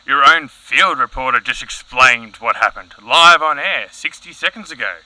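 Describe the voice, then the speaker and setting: A man's voice: medium pitch, moderate pace, sharp tone, rough recording. A man playing an exasperated, rational science professor in a mock news interview, speaking firmly. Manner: firm, exasperated.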